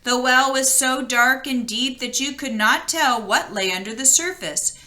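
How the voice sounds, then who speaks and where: A woman reading a fairy tale aloud as an expressive storyteller, with deliberate dramatic emphasis. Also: high-pitched. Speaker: storybook reader.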